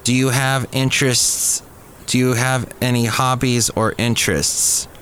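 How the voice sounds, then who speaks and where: A man hosts a casual podcast in a middle-pitched voice. He chats informally as a solo presenter, with faint noise behind him. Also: level tone, a little slow, fairly bright tone. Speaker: podcast host.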